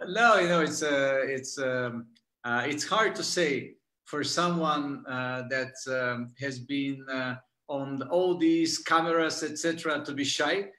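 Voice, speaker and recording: A man's voice: mid-range voice, a little slow, pushed and bright, roomy sound. A Bulgarian man whose first language is Bulgarian, a guest in an interview, speaking hesitantly with many fillers and frequent laughs. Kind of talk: interview conversation.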